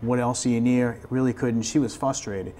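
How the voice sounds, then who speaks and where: An American man gives conversational explanations as an interview guest. His voice is mellow and soft-edged at a medium pitch, and he tends to repeat words as he begins a sentence. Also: fairly even intonation, moderate pace, slight background noise. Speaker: fire department staff member, interview guest.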